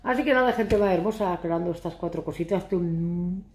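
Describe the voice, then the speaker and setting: A woman in her thirties, from Catalonia, chatting to camera in a casual, ironic, rambling way, a little hoarse. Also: fairly low pitch, fairly quick, roomy sound. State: hoarse from shouting. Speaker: vlogger. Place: living room sofa, indoors. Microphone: phone camera at arm's length.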